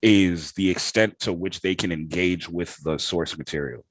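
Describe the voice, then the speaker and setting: A man's voice: deep voice, normal speed, dry recording. A man co-hosting a casual gaming discussion, reasoning his way through points a little hesitantly. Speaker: podcast co-host.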